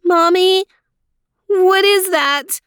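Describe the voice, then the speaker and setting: A small, eager girl pipes up in a high, bright voice, as a woman reads her. The voice sits slightly off mic, and the room around it is dry. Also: slow pace, no room sound. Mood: frightened. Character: small excited young girl.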